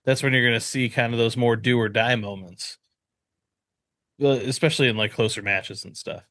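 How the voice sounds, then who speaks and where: A man's voice: mid-range voice, steady tone, moderate pace, fairly bright tone, no room sound, studio quality. A man co-hosting a podcast in casual chat, blunt and opinionated, swearing freely. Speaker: podcast co-host.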